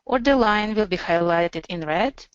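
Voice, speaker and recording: A woman narrating an instructional software demo as a webinar presenter, explaining in a measured, step-by-step way. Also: mid-range voice, expressive, clean tone, no room sound, highs cut off.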